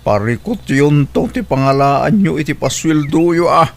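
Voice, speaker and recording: A man's voice: on the high side, lively intonation, normal speed. A man voicing an elderly, frugal father in an audio drama, advising and admonishing.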